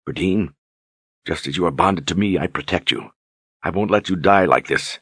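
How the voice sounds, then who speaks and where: A man voicing a calm, steadfast young lord who speaks gently and reassuringly. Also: low-pitched, moderate pace, breathy edge, dry recording, studio quality, heavily acted. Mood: gentle.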